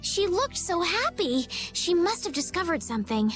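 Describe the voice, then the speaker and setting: A gentle teenage girl in a video game. Her voice is very high and expressive, with an earnest, caring delivery over faint music. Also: moderate pace, full character voice. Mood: concerned. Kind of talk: video game cutscene acting.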